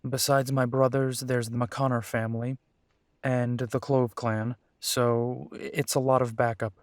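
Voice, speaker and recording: A man's voice: medium pitch, little pitch movement, measured pace, no room sound, clean studio sound, lightly acted. A man playing a young, protective and determined werewolf in an audio roleplay, speaking earnestly. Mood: serious, determined.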